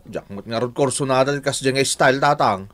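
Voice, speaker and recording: An actor playing a headstrong, easily smitten young bachelor in a radio drama, speaking with a Filipino accent. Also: male voice, mid-range voice, brisk, fairly bright tone, lightly acted. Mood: stubborn, fed up. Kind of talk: Ilocano radio drama acting.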